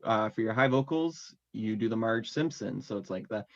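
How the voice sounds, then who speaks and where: A man's voice: lowish voice, moderate pace. A man chatting casually as an interview guest about his music, rambling and full of fillers. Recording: dull lo-fi audio.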